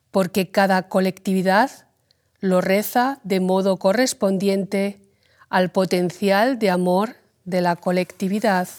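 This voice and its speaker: Woman reading scripture and prayer slow and calm, mid pitch. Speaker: reader.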